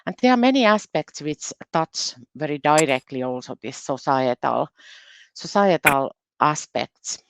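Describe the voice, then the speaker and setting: Woman with a Finnish accent, halting. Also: sits low, lively intonation, background noise. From Finland. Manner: careful, halting delivery that often doubles words. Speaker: university research specialist presenting on a panel. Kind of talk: conference presentation.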